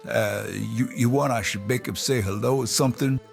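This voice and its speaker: An actor playing a thuggish, obedient young mobster in an animated comic, creaky and uncouth. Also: male voice, medium pitch, moderate pace, soft background music, close to an everyday voice. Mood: casual, dutiful.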